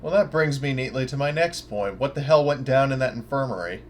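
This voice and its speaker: A man's voice: medium pitch, expressive, brisk, slight background noise. A man playing a tabletop roleplaying game, chatting casually and speaking bluntly in character.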